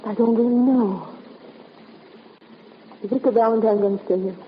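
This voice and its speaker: An actress playing a frightened, brittle, bitter young niece in a 1950s radio drama. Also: female voice, fairly high pitch, fairly even intonation, normal speed, natural voice. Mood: fearful. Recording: muffled, noisy 1950s radio recording.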